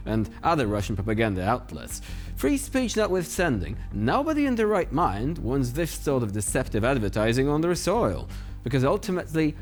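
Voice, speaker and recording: A man hosting a news show, delivering scripted satirical commentary in a sardonic, mocking manner. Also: fairly high pitch.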